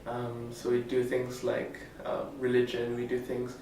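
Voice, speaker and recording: Flat-voiced teenage boy from Singapore. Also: mid-range voice. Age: teens. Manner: measured, articulate, with "um" pauses. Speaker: summer school student. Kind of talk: interview answers. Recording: echoey room, distant mic, faint noise.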